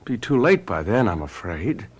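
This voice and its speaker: An actor playing a charming, persuasive husband in a radio drama, with a smooth, playfully gallant manner. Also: male voice, on the low side, big pitch swings, moderate pace. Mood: coaxing. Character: charming, persuasive, spendthrift husband wheedling his actress wife.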